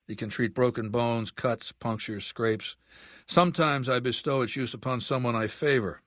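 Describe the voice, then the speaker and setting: A man playing an aging, imperious warlord dictator in a video game, with a measured, commanding and menacing manner. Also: mid-range voice, fairly bright tone, no room sound, clean studio sound, close to an everyday voice. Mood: cold, commanding. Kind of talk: video game character dialogue.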